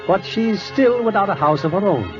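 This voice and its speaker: A man's voice: high voice, lively intonation, normal speed. A man hosting and narrating a 1940s radio theater, reading a script in a formal, theatrical announcing style. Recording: dull old radio recording, music behind.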